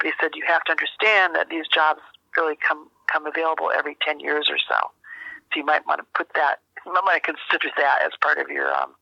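An older woman giving a reflective interview, speaking thoughtfully and haltingly with careful phrasing. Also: sits low, gravelly, dry recording, highs cut off. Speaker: interview guest, journalist and author.

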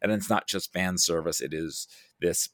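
A man with a low voice talks casually as a podcast guest, in loose conversational phrases. The recording is close and dry, with little room sound. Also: moderate pace, fairly bright tone, no room sound. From United States.

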